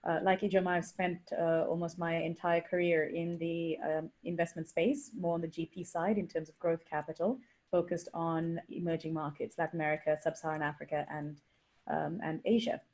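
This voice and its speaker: A woman investor introduces herself on an online panel over a video call. She speaks in an articulate, businesslike way with occasional ums. Her voice is a little low. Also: level tone, brisk, a little mellow.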